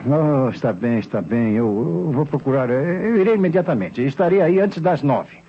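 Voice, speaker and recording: An actor playing a worried, dutiful family doctor who hesitates and repeats himself, in 1960s radio drama style. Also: male voice, mid-range voice, animated, normal speed, lightly acted. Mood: flustered. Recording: muffled, faintly noisy old radio recording.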